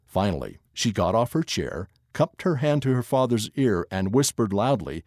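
A man giving a scripted narration of an article in a measured, expressive reading voice. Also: fairly low pitch, no room sound, studio quality.

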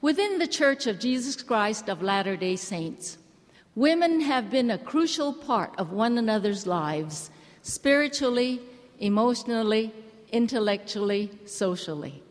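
A woman from Hawaii giving an earnest, gentle church address to a women's gathering. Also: medium pitch. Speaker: church speaker. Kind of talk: measured address to a women's conference. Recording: large hall reverb.

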